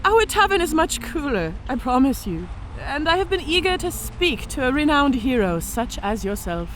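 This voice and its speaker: Woman playing a lively, high-voiced orc centurion. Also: moderate pace, slight background noise, poor audio. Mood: inviting, probing. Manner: playful, self-assured, hospitable. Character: confident, teasing female orc centurion mage. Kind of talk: fantasy audio drama acting.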